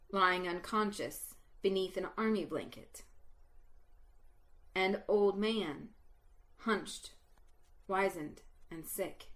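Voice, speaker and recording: A woman reading a story aloud as narrator, in a slow, expressive telling that builds to exultant emotion. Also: mid-range voice.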